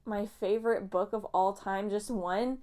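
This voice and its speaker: Chatty sniffly woman, smooth voice. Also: mid-range voice, fairly even intonation, a little slow, darkish tone. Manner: chatty, self-mocking, goes off on tangents. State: slightly nasal and sniffly from allergies. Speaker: booktuber. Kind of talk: casual rambling book vlog.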